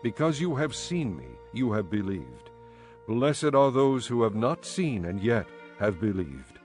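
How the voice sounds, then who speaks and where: A man voicing Jesus as a calm, gentle risen teacher, speaking in a measured, solemn way in a dramatized scripture reading. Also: mid-range voice. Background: faint music.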